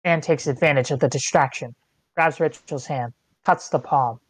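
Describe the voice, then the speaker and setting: Screenplay directions reader, measured delivery, medium-low neutral pitch, scripted narration, no room echo. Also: husky. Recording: online meeting, close and dry.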